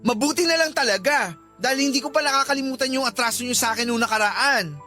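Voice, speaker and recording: Fed-up grown kid nagging mom, radio drama, really high sharp voice. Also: female voice, fast talker, faint music behind. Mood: exasperated scolding. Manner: exasperated, nagging. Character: irritated adult child scolding an elderly mother. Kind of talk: Tagalog radio drama acting.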